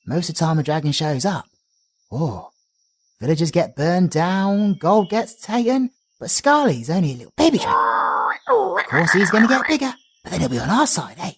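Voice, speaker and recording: A man's voice: high-pitched, big pitch swings, moderate pace, husky, exaggerated voice. A British man voicing a cheerful, chatty male bard in an audio roleplay, doting and slangy as he talks.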